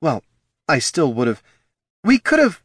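A man's voice: mid-range voice, moderate pace, breathy edge, dry recording, studio quality, voice pushed up, natural voice. A man voicing a hot-tempered, wounded female fire sorceress, impassioned and accusing, in a fantasy audiobook. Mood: hurt. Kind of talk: fantasy audiobook character dialogue.

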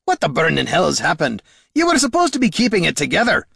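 A man voicing a gruff male fantasy quest leader in an audiobook, barking and scolding in rough, colloquial speech. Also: medium pitch, expressive, fairly quick, pushed and bright, dry recording, clean studio sound, voice pushed up, full character voice. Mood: alarmed, exasperated.

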